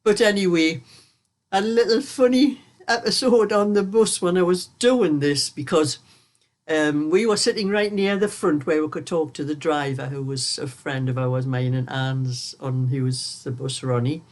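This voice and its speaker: Older woman, vlog host, croaky with a cold, rambling and chatty. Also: sits low, moderate pace. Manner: rambling, chatty storyteller who laughs often. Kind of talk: casual chat while knitting.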